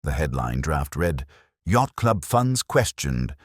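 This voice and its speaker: A man's voice: rumbling low, sing-song, slow, no echo, studio quality. A man reading scripted narration of a detective mystery audiobook.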